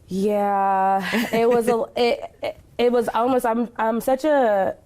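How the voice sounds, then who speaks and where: A woman's voice: fairly high pitch, steady tone, slow pace, dark tone. An American woman from Kentucky giving conversational interview answers as a community advocate, earnest and emphatic, speaking with conviction. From Kentucky, United States.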